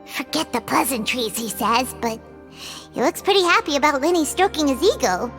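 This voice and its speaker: A woman voicing a tiny, cheeky floating companion creature who makes squeaky, gossipy asides. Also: lively intonation, moderate pace, fairly bright tone. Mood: snarky. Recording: reverb with game music.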